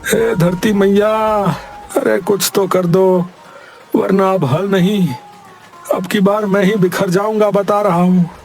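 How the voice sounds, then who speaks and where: A man voices a poor wisecracking farmer in a village cartoon, pleading and dramatic. The voice is high and slow, with folksy turns. Music sits behind it. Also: steady tone, vocal fry, darkish tone, full character voice. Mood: anguished, pleading. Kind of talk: Hindi moral story cartoon acting.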